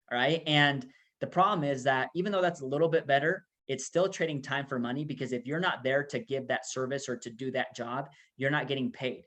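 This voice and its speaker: A man's voice: mid-range voice, a bit bright. A man from Peru, presenting a casual, filler-heavy motivational talk about making money. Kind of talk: business opportunity presentation.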